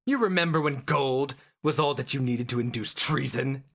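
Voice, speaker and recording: A man's voice: mid-range voice, moderate pace, creaky, a bit bright, pitched above the performer's own voice. A man voicing a scornful, fervent, half-mad male sorcerer in a dramatic fantasy story reading, theatrical and hectoring. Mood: mocking, hectoring.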